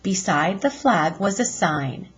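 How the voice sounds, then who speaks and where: A woman with a husky voice reading a children's chapter book aloud in a deliberate, expressive teacher's style. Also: on the low side.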